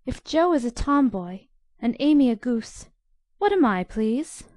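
Woman playing mellow, gentle peacemaking young girl. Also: a little high, very animated, measured pace, no room sound, studio quality, pitched above the performer's own voice. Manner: soft, earnest, soothing. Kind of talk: audiobook character acting.

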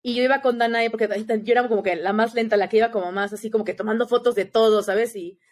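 A young Mexican-accented woman with a fairly high voice recounts a story in lively, casual conversation. She talks fast and animated, constantly checking in with her listener. It sounds like an easygoing chat between friends. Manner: animated, chatty, often adds "you know?" tags. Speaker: guest.

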